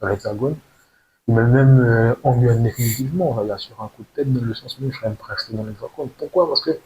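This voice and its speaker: A young man from talks through his thoughts in an unscripted monologue. His delivery is halting and earnest, with frequent repeated words and emphatic bursts. The pitch is mid-range for a man, and a light hiss runs through the amateur recording. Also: darkish tone, muffled recording. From French Guiana. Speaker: video creator telling his own story.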